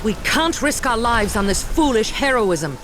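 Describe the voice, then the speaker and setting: An actress playing a cunning middle-aged witch and mother in a video game, speaking in a measured, authoritative way. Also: a little high, very animated, noisy background. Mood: commanding. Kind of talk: video game cutscene acting. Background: game music.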